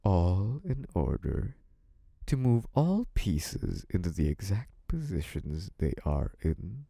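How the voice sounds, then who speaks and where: An actor playing a possessive, scheming young mafia boss in a theatrical, condescending, menacing monologue. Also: male voice, deep voice, big pitch swings, gravelly, no echo, studio quality, full character voice. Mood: cold, menacing. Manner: menacing, condescending, theatrically drawn-out delivery with dark chuckles. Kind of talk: theatrical scripted audio roleplay monologue.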